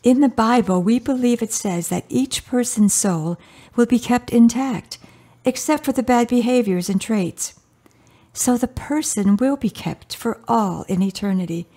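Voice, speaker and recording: A woman acting in a radio drama as a devout, gentle older mother, patient and earnest as she counsels her grown daughter. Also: fairly high pitch, measured pace.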